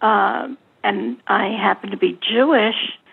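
A woman speaking haltingly and deliberately in a casual discussion, over a thin phone line. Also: medium pitch, steady tone, gravelly, slightly rough. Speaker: book group participant.

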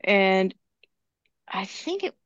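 Woman, book club member, mid-pitched, conversational, on muffled lo-fi audio. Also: sing-song, very slow, no echo. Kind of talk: casual group discussion. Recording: dull, lo-fi audio.

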